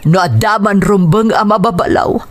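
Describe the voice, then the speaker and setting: A Filipino woman with an Ilocano accent playing a consoling, reasoning middle-aged older sister in a radio drama, speaking deliberately. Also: mid-range voice, slight background noise, lightly acted. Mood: consoling, firm.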